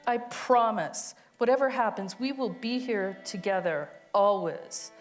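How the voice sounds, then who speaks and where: A woman's voice: mid-range voice, expressive, measured pace, soft background music. A woman giving a dramatic reading of an autobiographical monologue, with a tender, emphatic storytelling delivery. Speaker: co-reader of a monologue.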